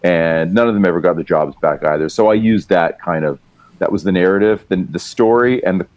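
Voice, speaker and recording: A man's voice: sits low, a little fast, a little mellow, no room sound, lo-fi sound. A man guest on a podcast, telling a rambling, laid-back story about writing a song, with casual swearing, over a remote call. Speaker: guest musician and writer. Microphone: remote call mic.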